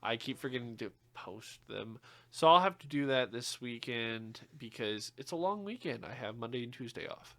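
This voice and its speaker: A man's voice: expressive, normal speed, sharp tone. A young man co-hosting a podcast in casual, profane chat, joking and swearing. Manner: swearing, joking, with sudden high-pitched outbursts. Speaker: podcast co-host.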